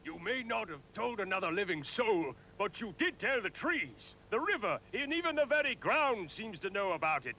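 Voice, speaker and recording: An actor playing a vain, hot-tempered, cold-hearted king in an imperious, melodramatic way for an animated fairy tale. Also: high-pitched, normal speed, hardly any pauses, a little hiss or hum, heavily acted, poor audio. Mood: menacing.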